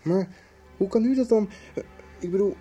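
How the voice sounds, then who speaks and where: A man's voice: high voice, normal speed, warm tone, dull audio, soft background music, close to an everyday voice. An actor playing an inquisitive, attentive man piecing together a mystery in a radio drama. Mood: puzzled. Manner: questioning, attentive.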